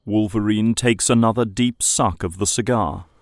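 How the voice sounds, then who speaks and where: A man's voice: lowish voice, steady tone, moderate pace, no echo, clean studio sound. A man reading scripted narration for an audio drama.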